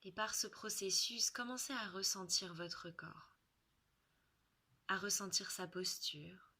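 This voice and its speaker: A woman leading a guided relaxation in French, soothing and unhurried, with soft, breathy phrase endings and long pauses. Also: mid-range voice.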